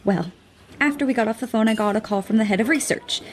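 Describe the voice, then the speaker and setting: An actress playing a brisk, wry woman scientist in an audio drama, wordy and dryly sarcastic. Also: on the high side, a little hiss or hum, lightly acted. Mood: exasperated.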